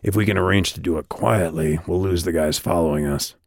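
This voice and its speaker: A terse male guide from a fantasy novel, voiced by a man. He is unflappable and deliberate, with a low, gravelly delivery. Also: no room sound, studio quality. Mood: dry.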